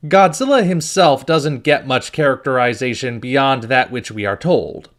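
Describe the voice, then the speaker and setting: A man's voice: medium pitch, a little slow. A man hosting a video review, reading scripted narration with wry, dry asides.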